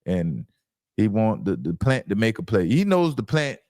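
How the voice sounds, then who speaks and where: A man's voice: fairly low pitch, very animated, normal speed, a little mellow, no room sound, studio quality. A man hosting a sports reaction show, giving opinionated commentary in an emphatic, insistent way and repeating phrases for stress.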